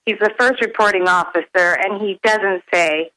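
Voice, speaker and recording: A woman calling in to a radio show, giving an earnest, emphatic and deliberate account in her own words. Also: medium pitch, pushed and bright, rough recording.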